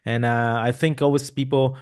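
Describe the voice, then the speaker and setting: A man hosts a casual podcast interview from a home room, speaking into a boom mic over a video call. He rambles loosely, backing up and restarting his sentences as he goes. His voice sits at an ordinary middle pitch for a man. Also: normal speed. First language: Italian. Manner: rambling, many restarts and fillers, animated hand gestures. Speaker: podcast host. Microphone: boom mic with headphones, video call.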